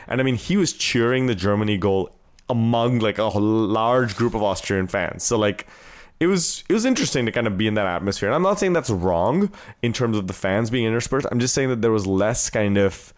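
A man's voice: lowish voice, animated, normal speed. An American man hosting a podcast, chatting informally and with enthusiasm as he recounts things. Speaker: podcast host. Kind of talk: casual chat, recounting a trip.

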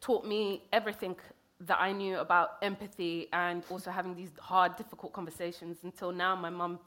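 A woman, speaking in an interview in a halting, thoughtful way with many fillers and restarts. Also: on the low side, little pitch movement, fairly bright tone. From London. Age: 30s. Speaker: poet. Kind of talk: reflective interview conversation.

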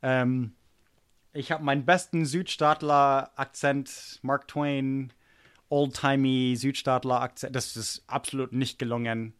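A man's voice: mid-range voice, fairly even intonation, slow, clear, resonant tone, no room sound. American man, native English speaker, podcast co-host, chatty, self-deprecating, dry close sound. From United States. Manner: chatty, self-deprecating, frequent restarts and fillers. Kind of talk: casual chat.

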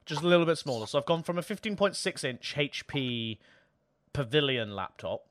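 A man's voice: on the high side, animated, moderate pace, a bit bright, slight background noise. A young British man chatting casually about tech as a podcast co-host, jokey and self-deprecating, his voice a little strained. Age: young adult. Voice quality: slightly worn, tired voice.

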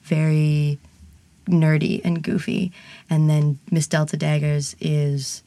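Woman, low and gravelly, frank interviewee, laughs easily, dry sound. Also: little pitch movement, takes it slowly, mellow, dry recording. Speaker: burlesque singer, interviewee. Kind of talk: candid interview conversation.

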